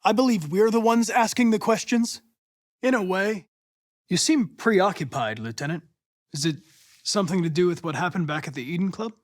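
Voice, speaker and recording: An actor playing a polite, earnest young male android police investigator in a video game, speaking in precise, formal, measured diction. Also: high-pitched, dry recording, clean studio sound, natural voice. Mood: composed, earnest. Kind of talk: scripted video game dialogue.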